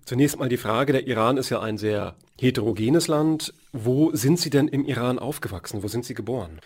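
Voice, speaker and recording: Male radio interviewer, mid-pitched voice. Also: lively intonation, fairly quick, darkish tone, breathy edge. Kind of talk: radio interview, measured questioning.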